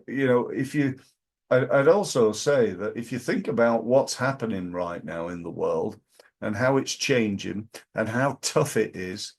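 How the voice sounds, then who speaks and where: Man, Yorkshire accent, hoarse with fry, casual and emphatic coach, speaking to camera from an office. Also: medium pitch, darkish tone. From Yorkshire, England. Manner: emphatic, repeats key phrases, many fillers. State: hoarse from flu. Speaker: business coach. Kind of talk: casual to-camera business advice. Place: office, in front of a backdrop screen.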